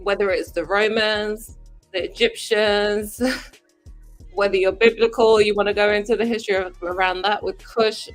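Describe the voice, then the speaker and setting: A woman from Sudan talking as a panel guest on a loose livestream chat. She's animated and swears casually, her voice sitting in a middle pitch, with a little music playing faintly behind. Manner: animated, talks over others, swears casually.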